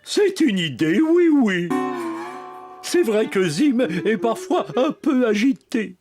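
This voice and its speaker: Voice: medium pitch, big pitch swings, slow pace, music in the background. An actor voicing a jolly, bumbling roly-poly male tearoom keeper in a French children's cartoon, full of exclamations. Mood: jovial.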